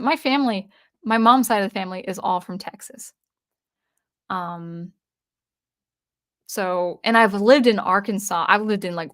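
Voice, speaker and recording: A woman's voice: medium pitch, fairly bright tone. Chatty woman reading stream comments. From US Northwest. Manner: reads viewer comments aloud and answers them; chatty, with frequent restarts. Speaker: livestream host. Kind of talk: casual livestream chat.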